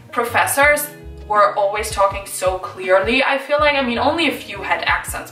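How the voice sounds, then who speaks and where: Upbeat young woman vlogging, bright voice, says like a lot, a bit far from the mic in a roomy space. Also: on the high side. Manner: chatty, upbeat, lots of "like" and "so normal". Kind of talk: casual chat to camera. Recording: echoey room, slightly far from mic, light music.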